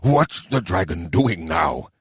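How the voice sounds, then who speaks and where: Guy doing a grumbly bossy goblin mayor, raspy, animated, irritable schemer for a fantasy audiobook. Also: low voice, takes it slowly, no room sound, clean studio sound, full character voice. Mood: calculating. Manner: grumbling, commanding. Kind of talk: audiobook character voice.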